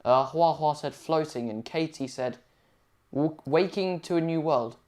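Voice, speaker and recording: A man's voice: on the high side, measured pace, a little mellow. A thirteen-year-old boy with an English accent, hosting his own piano livestream in a laid-back, casual way with short replies full of fillers. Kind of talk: casual chat.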